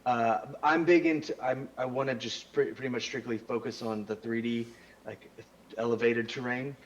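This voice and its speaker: A man chatting as a guest, enthusiastic and relaxed, with slangy speech. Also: medium pitch, normal speed, muffled recording, a little hiss or hum. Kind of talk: casual chat.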